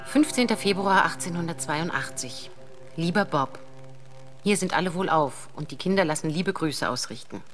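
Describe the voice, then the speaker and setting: An actress playing a caring, wistful mother reading out her letter to an estranged husband in an audio drama, gentle and intimate. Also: fairly low pitch, lively intonation, normal speed, soft background music, close to an everyday voice. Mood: fond, chatty.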